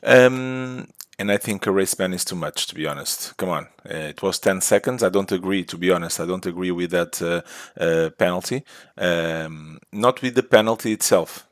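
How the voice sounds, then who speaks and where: A man's voice: low voice, normal speed, dry recording, studio quality. A man co-hosting a casual podcast chat, talking hesitantly with many fillers and frequent laughter. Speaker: podcast co-host. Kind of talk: casual chat about motor racing.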